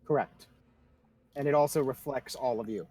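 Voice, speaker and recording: A man serves as game master in a casual online tabletop session. His voice is husky, with a mid-range pitch, and he talks informally with some swearing. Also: moderate pace, mellow, no room sound.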